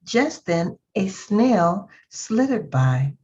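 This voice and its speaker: A woman reading a children's story aloud expressively, with drawn-out emphasis. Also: mid-range voice, sing-song, a little mellow. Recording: slightly dull, lo-fi sound.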